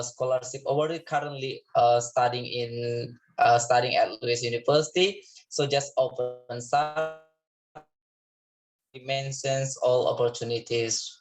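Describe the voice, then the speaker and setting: A man's voice: mid-range voice, poor audio. An Indonesian man moderating an online info session over a video call, talking with frequent hesitations and restarts. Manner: hesitant, many fillers and restarts.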